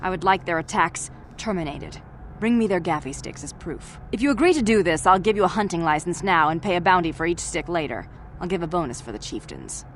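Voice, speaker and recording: A woman playing an officious, businesslike mining company clerk in a video game, with a formal, brisk manner. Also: fairly low pitch, animated, a little hiss or hum, close to an everyday voice. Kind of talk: video game character acting.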